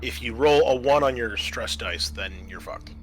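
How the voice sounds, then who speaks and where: A man's voice: mid-range voice, lively intonation, normal speed, low-quality recording. A man acting as game master, casually explaining and improvising the narration of a tabletop roleplaying game.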